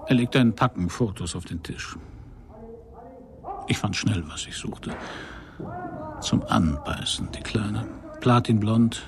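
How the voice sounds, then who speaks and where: An actor playing a wry, hard-boiled private detective in a radio crime play, with a dry, laconic delivery. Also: male voice, fairly low pitch, slow pace, long pauses, crowd noise. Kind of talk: first-person crime radio play narration and dialogue.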